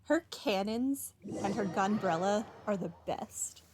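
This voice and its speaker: A woman's voice: medium pitch, expressive, takes it slowly, noisy background. A young adult woman streaming a video game, giving casual, chatty playthrough commentary. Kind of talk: casual playthrough commentary, reading game dialogue options aloud.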